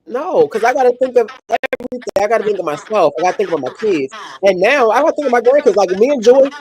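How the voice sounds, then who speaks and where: A woman's voice: fairly high pitch, lively intonation, fast, darkish tone, rough recording. A woman in her forties, co-hosting a podcast, talking frankly and emphatically and repeating herself to make a point. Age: 40s. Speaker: podcast co-host. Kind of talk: casual chat.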